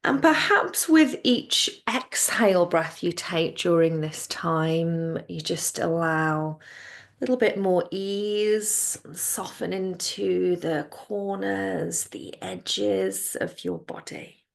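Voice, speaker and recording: Slow, low-pitched woman guiding relaxation. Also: creaky. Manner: soothing, unhurried, gentle instructions. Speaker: yoga nidra teacher guiding a class. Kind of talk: guided relaxation meditation.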